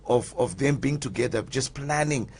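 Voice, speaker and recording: A man's voice: medium pitch, normal speed, vocal fry, darkish tone, breathy edge. A man on a radio dad panel, talking earnestly and repeating words as he thinks. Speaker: panelist, father.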